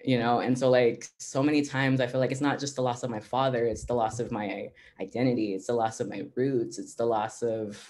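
A young adult woman artist reflecting on her own painting during a video call, soft and reflective, often saying "you know, like". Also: rumbling low, level tone, fairly quick, hardly any pauses. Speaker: exhibiting artist.

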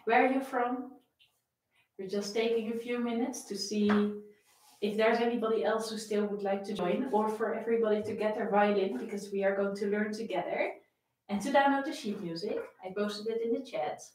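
A woman's voice: medium pitch, steady tone, moderate pace, darkish tone, heavy reverb, distant mic, low-quality recording. A young woman with a Dutch accent, a violin teacher chatting and greeting viewers by name before a live-stream lesson from her home. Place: home room with framed pictures, indoors.

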